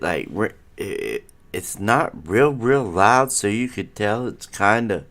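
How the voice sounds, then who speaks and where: A man's voice: low-pitched, a bit bright. A middle-aged man hosting a casual live stream from home, rambling as he chats with viewers, his nose stuffy. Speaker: livestream host.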